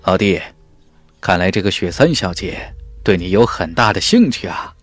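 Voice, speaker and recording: A man voicing a teasing, worldly male warrior in a fantasy audiobook, with a slow, knowing delivery. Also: deep voice, animated, faint music behind. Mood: sly teasing. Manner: sly chuckles, slow weighty delivery. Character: teasing, worldly young male warrior, sworn-brother type.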